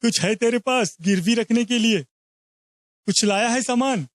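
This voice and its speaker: A man's voice: very high voice, measured pace, dry recording, studio quality. A man voicing a greedy, heartless, bullying village moneylender in a cartoon moral story, bossy and scornful.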